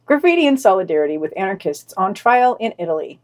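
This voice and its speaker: A woman's voice: medium pitch, sing-song, fast talker, a little mellow. A woman hosting a podcast, reading scripted news summaries and quotations with dry, wry commentary.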